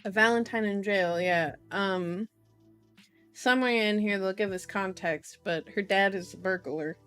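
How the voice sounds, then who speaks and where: A woman's voice: mid-range voice, a little slow, fairly bright tone, smooth, soft background music. A woman reading a novel aloud in an ASMR style, with informal, giggly asides, as a streamer. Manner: giggly, self-interrupting, informal.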